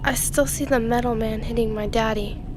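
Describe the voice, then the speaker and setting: Woman playing a high-voiced, excitable orphan girl. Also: moderate pace, noisy background, lightly acted. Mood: anxious, vulnerable. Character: excitable orphan teenage girl, eager and anxious space colonist. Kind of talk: audio drama acting.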